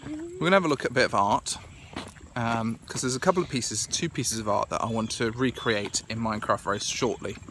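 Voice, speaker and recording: Croaky British dad, 40s, bright voice, chatty selfie vlog outdoors. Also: male voice, medium pitch, big pitch swings, brisk, a little hiss or hum. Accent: British English. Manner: chatty, thinking aloud with many fillers. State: croaky with a cold. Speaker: family vlogger. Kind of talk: casual vlog to camera. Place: outdoors in a large garden and meadow. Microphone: phone camera held at arm's length.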